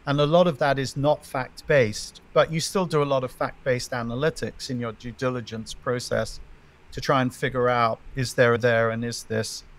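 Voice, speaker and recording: A middle-aged man answering interview questions as a venture investor. He speaks in a measured, emphatic way and builds his points in lists of three. His pitch sits in a typical male middle range. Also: fairly even intonation.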